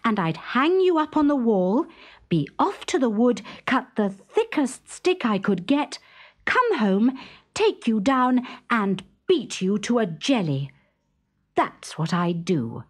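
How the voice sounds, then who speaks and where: A woman voicing a bold, cunning young heroine in a storybook, singing out clearly and gleefully. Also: big pitch swings, takes it slowly, heavily acted. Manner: high, clear, singing out happily. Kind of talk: dramatised storybook reading.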